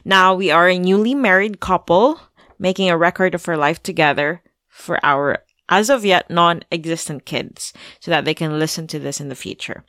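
Podcast co-host, female, low croaky voice, relaxed chat, dry close mic. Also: moderate pace, no room sound. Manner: easy-going, laughs often. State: croaky, groggy voice. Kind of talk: casual chat.

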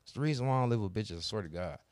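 A man's voice: on the low side, animated, racing, darkish tone, dry recording. A young man telling a long, profane story in casual podcast chat, acting out both sides of the arguments. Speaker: podcast co-host.

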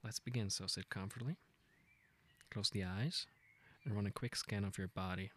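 A man leading a guided meditation, speaking gently and informally in an unhurried, intimate way. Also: lowish voice, steady tone. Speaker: meditation guide, podcast host.

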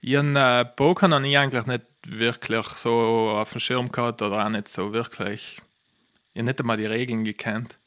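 A man's voice: mid-range voice, fairly even intonation, normal speed, a bit bright. South Tyrolean man chatting enthusiastically. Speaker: podcast guest, professional poker player. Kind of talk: casual chat, personal storytelling.

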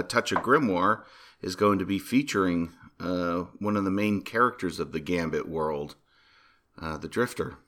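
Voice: low voice, a bit bright. A podcast host chatting casually about video games in a jokey manner. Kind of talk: casual gaming podcast chat.

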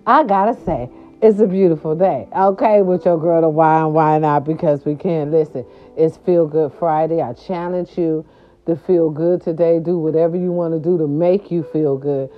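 A grandmother from California talking casually to her viewers, animated and chatty, with salty slang and lots of "right, right" fillers. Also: deep voice, moderate pace, faint music behind. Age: older. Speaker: vlogger. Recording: dull lo-fi sound.

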